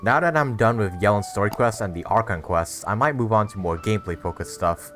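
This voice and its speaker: Male gaming YouTuber, low pitch, casual and rambling, game music underneath. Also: animated, fairly quick, fairly bright tone. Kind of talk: casual let's-play commentary.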